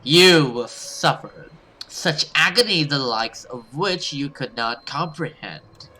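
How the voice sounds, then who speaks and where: Man voicing a venomous, gloating demon woman, slow-paced. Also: medium pitch, expressive, sharp tone, full character voice, poor audio. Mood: cruel menace. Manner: menacing, contemptuous, slow deliberate threats. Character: venomous, gloating female demon threatening torture. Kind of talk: horror visual novel read-aloud.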